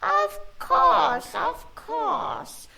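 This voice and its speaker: A woman's voice: extremely high pitch, huge pitch swings, extremely slow, creaky, bright, forceful tone, slight background noise, exaggerated voice. A woman voicing a smug, playful female demon in a visual novel, with a mocking, sing-song delivery over music. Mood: smug teasing. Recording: music under the voice.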